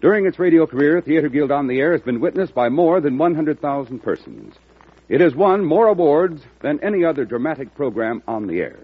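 A man's voice: on the high side, sing-song, a little fast, slightly rough. A man announcing in the promotional style of 1940s radio, reading scripted copy. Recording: tinny, narrow-band old radio recording.

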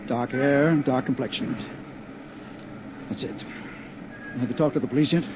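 A pedantic arson inspector, played by a man lists details in a slow, precise way. The sound is a muffled lo-fi old radio recording with clear hiss behind it. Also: medium pitch, natural voice. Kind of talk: 1950s radio drama acting.

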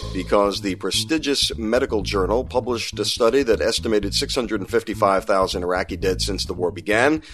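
An American man hosting a science podcast in a conversational, wry way with dry humor. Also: lowish voice. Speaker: podcast host.

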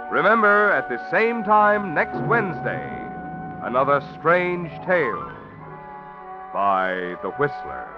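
A man announcing a 1940s radio drama with sponsor messages, smooth and persuasive. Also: on the high side. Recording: dull old radio broadcast with music.

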